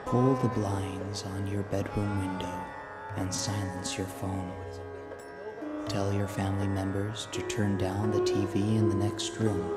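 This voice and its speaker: A man narrating a scripted guided sleep meditation in a soothing, deliberate way, with an echoey sound. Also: lowish voice. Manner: slow, soothing, deliberate delivery. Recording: echoey voice under loud music.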